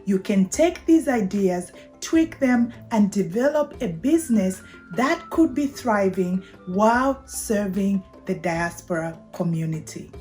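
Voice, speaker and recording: Middle-aged Zambian woman, channel host, warm dark timbre, structured deliberate delivery over light background music. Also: mid-range voice, lively intonation. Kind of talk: prepared explainer talk to camera.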